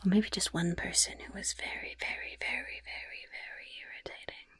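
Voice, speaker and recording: A woman's voice: medium pitch, wildly swooping pitch, a little fast, fairly bright tone, no echo, exaggerated voice. A woman voicing a sly, gleefully wicked goblin shopkeeper in a whispered ASMR roleplay, with polite, chatty patter and repeated words. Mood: knowing, conspiratorial. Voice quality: breathy, husky whisper with gravelly creak.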